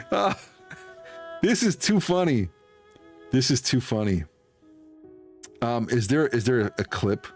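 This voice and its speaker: Guy streaming games, amused n chatty, pitch jumps around, bit of music behind him. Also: sing-song, slow pace, long pauses. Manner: amused, chuckling, repeats himself. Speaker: livestreamer playing a game. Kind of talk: casual livestream chat.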